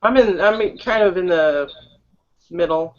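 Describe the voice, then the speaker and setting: A woman in a casual online group chat, teasing, insistent and quick to laugh. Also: fairly low pitch, darkish tone, highs cut off. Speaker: chat participant.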